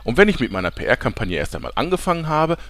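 An actor playing a villain's clingy, excitable male sidekick, gushing and prone to shrillness, in a comedy audio play. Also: medium pitch, quick pace, exaggerated voice. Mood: breezy, coaxing.

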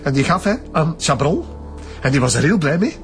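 A man's voice: fairly high pitch, sing-song, fairly quick. A frightened, preachy male concierge with a Flemish accent, stammering and railing against sinners. A radio play with faint music under the voice. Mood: confiding. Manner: stammering, moralizing about sin. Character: fearful pious male concierge, nervous and preachy. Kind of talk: radio drama acting.

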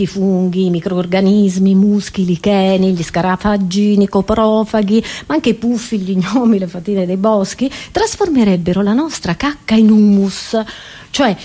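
A woman comedian with a medium-pitched voice performs a monologue. Her delivery is theatrical and playful, and she often turns to her listeners with chatty asides. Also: a little mellow.

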